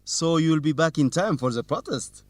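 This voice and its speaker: A man's voice: on the high side, lively intonation, normal speed, darkish tone, no echo, close to an everyday voice. A man playing an earnest, confident young student reporter in an educational radio drama. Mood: earnest, reassuring.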